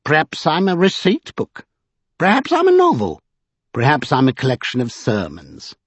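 Guy doing a gleeful teasing vagabond from a storybook, pitched up and kinda husky, talks in riddles. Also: huge pitch swings, normal speed, dry recording, exaggerated voice. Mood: musing, amused. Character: gleeful, capering, mischievous vagabond man, a living book of magic.

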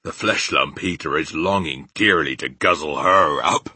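A man voicing a big, gentle but stubborn storybook giant who talks in muddled grammar and invented words. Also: a bass voice, unhurried, slightly rough, no room sound, exaggerated voice. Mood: matter-of-fact. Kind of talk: children's storybook character reading.

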